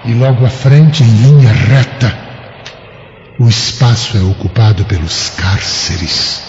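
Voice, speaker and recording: A man's voice: fairly low pitch, takes it slowly, a little mellow. An actor playing a florid Roman man in an audiobook drama, grandiose, with a Brazilian accent. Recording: dull lo-fi audio, background noise.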